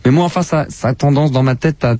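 A man hosting a radio show, talking through a hesitant personal monologue with many pauses. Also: fairly high pitch, warm tone, no room sound. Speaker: radio host. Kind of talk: confessional monologue.

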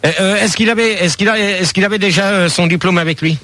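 Bright high-voiced male radio host. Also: fast talker. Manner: teasing, incredulous, repeats himself. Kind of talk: comic radio call-in hosting.

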